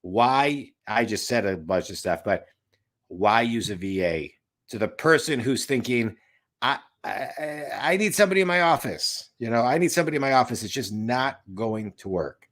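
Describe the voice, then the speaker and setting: A man's voice: medium pitch, animated, normal speed, a bit bright. A man in his late forties hosting an interview over a video call from a home office, talking in an emphatic, rambling way and repeating his points. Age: 40s. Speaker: podcast host and business coach. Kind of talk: casual interview chat.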